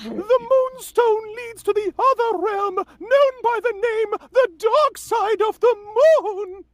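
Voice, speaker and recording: Very high-pitched, grand fairy monarch. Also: female voice. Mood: dramatic, excited. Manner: grandiose, slow, dramatic declaiming. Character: ancient, excitable, regal guardian fairy monarch, grand and whimsical. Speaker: performer in a live table read. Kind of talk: theatrical live table read of a fantasy game story.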